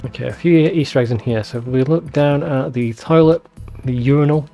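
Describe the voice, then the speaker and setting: A man's voice: mid-range voice, moderate pace, vocal fry, dark tone, faint music behind. A man doing casual, rambling let's play commentary, conversational and given to casual swearing.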